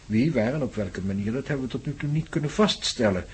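An actor playing a courteous professor in a radio drama, speaking in a grave, measured, formal manner with a husky voice. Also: male voice, mid-range voice, lively intonation. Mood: grave, explanatory. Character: grave, courteous male professor explaining ominous mysteries.

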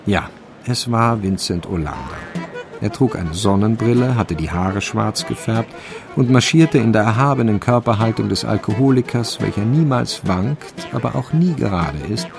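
An actor as a dry, deadpan male narrator of a crime radio play. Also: fairly low pitch, normal speed, creaky. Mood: wry. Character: dry, wry male storyteller of a crime tale. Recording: faint music behind the voice.

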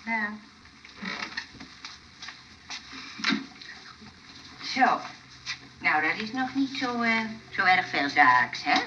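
An actress playing a brisk, practical, motherly woman who scolds and chivvies people in a comic radio play. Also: medium pitch, very animated, pushed and bright, exaggerated voice. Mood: instructing. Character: brisk, bossy, motherly woman keeping others in line. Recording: distant, dull, faintly noisy radio recording.

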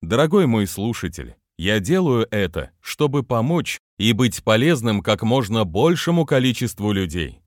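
A man's voice: on the low side, big pitch swings, moderate pace, hardly any pauses, no room sound, studio quality. A man, a business trainer, reading his own motivational book aloud with non-native pronunciation and an earnest manner.

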